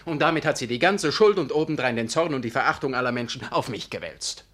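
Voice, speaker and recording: Aggrieved young clergyman, radio play. Proud, formal, highly animated intonation, mid-pitched. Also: fast. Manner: formal, self-righteous, declamatory. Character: proud, aggrieved young curate, self-righteous and stiffly polite. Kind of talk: German radio play acting.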